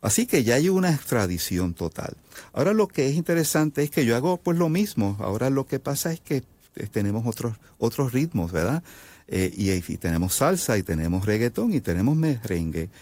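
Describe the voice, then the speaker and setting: A Puerto Rican man, a composer, explaining his music in an interview, halting often with fillers and restarts. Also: mid-range voice, sing-song, a little mellow, no echo. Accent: Puerto Rican Spanish. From Puerto Rico. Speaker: composer guest. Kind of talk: interview answers.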